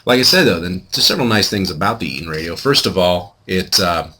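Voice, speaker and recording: A man's voice: deep voice, expressive, fast talker, bright, forceful tone, breathy edge. A middle-aged American man giving a casual product review in a chatty, self-deprecating, emphatic way. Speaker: home video blogger.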